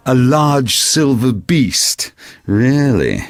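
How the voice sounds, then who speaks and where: An actor playing a pompous, suspicious Victorian naval commander in an audio drama, sneering and sarcastic. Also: male voice, mid-range voice, big pitch swings, drawn-out words, no room sound, exaggerated voice. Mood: scornful. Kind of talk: period audio drama acting.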